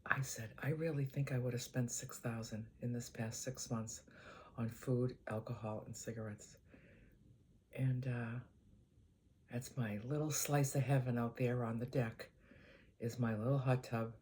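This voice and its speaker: An older woman with a Boston accent, chatting casually to her channel in an easygoing, rambling way, often letting her voice trail off quietly. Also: very deep voice, steady tone, a little slow, a little hiss or hum. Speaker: vlogger. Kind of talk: casual chat to camera.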